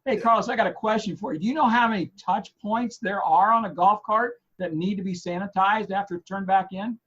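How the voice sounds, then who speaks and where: A man co-hosting a golf news show in casual chat, hoarse and losing his voice, rambling with many fillers and restarts. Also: high-pitched, brisk, fairly bright tone, highs cut off.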